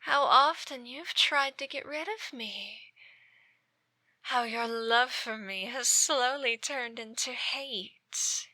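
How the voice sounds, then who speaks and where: A woman playing an obsessive yandere ex-girlfriend in an ASMR roleplay, speaking with menacing sweetness and slipping into breathy whispers. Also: a little high, slow pace, bright, forceful tone, no echo, exaggerated voice. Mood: bitter, wounded. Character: obsessive yandere ex-girlfriend stalking her former lover. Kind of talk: ASMR roleplay, scripted monologue.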